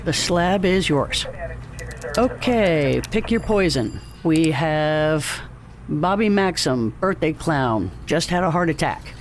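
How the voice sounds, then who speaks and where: A man's voice: a little high, lively intonation, takes it slowly. A man playing a breezy, agreeable captain in an audio drama, casual and wry. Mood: brisk, amused. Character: breezy, agreeable male captain handing out assignments.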